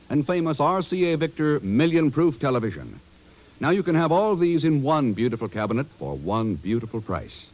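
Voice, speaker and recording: A man's voice: mid-range voice, low-quality recording. An American man announcing in polished, emphatic 1950s radio advertising style. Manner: polished, emphatic sales delivery.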